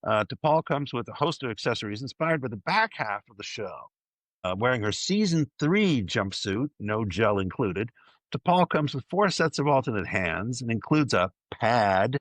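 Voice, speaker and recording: Lively, playful man hosting a fan podcast. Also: mid-range voice, moderate pace, no echo. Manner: playful, enthusiastic, wry asides. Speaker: podcast host presenting a news segment. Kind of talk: lively fan news presentation, partly scripted.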